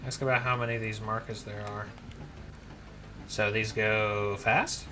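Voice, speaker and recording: A man's voice: lowish voice, level tone, unhurried, bright, forceful tone, slight background noise, low-quality recording. A man giving casual let's-play commentary, rambling with frequent restarts as he plays. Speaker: gameplay commentator.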